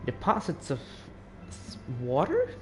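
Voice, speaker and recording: A man's voice: sits high, huge pitch swings, unhurried, darkish tone, a little hiss or hum. A teenage male gamer giving casual, musing off-the-cuff commentary while playing. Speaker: game streamer.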